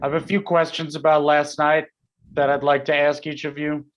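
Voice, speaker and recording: A man's voice: a little high, level tone, moderate pace, lightly acted, rough recording. An actor playing an officious, hostile policeman with a clipped formal manner, in a staged play reading. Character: officious, hostile small-town policeman grieving colleagues.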